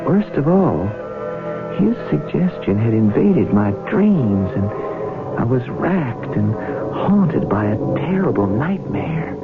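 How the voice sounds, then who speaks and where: An earnest young man in a radio drama, a troubled younger brother with very expressive pitch. The sound is a narrow old broadcast with music clearly behind. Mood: haunted. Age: young adult. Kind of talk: 1970s radio drama acting and narration.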